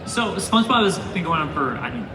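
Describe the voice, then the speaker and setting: A man's voice: high-pitched, big pitch swings, a little fast, crowd noise. A man moderating a convention panel, upbeat and asking prepared questions. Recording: echoey convention hall from far off, loud noise.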